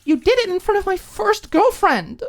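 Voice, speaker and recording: A woman's voice: very high voice, sing-song, normal speed, pitched above the performer's own voice, heavily acted. A woman voicing a furious, hurt young roommate in an audiobook, firing off sarcastic, emphatic rhetorical questions. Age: young adult. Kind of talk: audiobook character acting.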